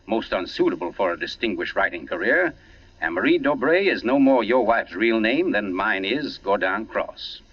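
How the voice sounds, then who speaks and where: An actor playing a suave older writer turned detective in a 1940s radio mystery, urbane, pompous and precise with a mocking edge. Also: male voice, on the low side, expressive, normal speed, breathy edge. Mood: smug, explaining. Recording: dull, lo-fi 1940s radio recording.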